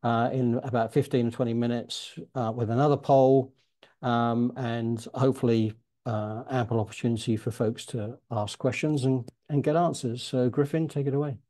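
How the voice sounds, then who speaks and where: Casting: man with a mellow, dark tone, halting moderator introducing a speaker on a video call. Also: medium pitch, fairly even intonation. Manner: halting, many fillers and restarts. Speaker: webinar moderator.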